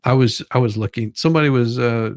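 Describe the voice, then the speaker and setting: A man giving casual live commentary as a stream host, halting and often repeating his phrases. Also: medium pitch, a little mellow, dry recording, clean studio sound. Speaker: livestream host.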